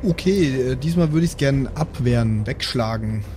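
A man's voice: mid-range voice, lively intonation, moderate pace, loud music behind the voice. A man with a southern German or Austrian accent in casual table talk as a game player, thinking aloud and checking for agreement. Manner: thinking aloud, seeking agreement with tag questions. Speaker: player in a role-playing game podcast.

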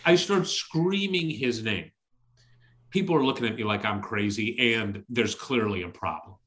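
A middle-aged man with a loud voice, hosting a podcast and telling an anecdote in casual chat, exclaiming and acting out the dialogue as he goes. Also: on the low side, moderate pace. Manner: exclamatory, acts out dialogue in his stories. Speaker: podcast host.

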